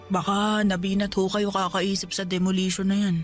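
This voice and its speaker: A woman's voice: mid-range voice, level tone, normal speed, faint music behind, lightly acted. A woman playing an anxious, devoted young son in a Tagalog radio drama, speaking respectfully and with open emotion. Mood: gently concerned. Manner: respectful, pleading, emotional delivery. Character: anxious, devoted young boy named Boyet, a poor man's son.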